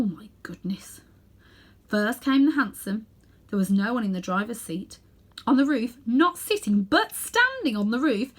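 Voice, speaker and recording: A woman narrating a children's storybook expressively, with dramatic emphasis and hushed asides. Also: a little high. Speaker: storybook reader.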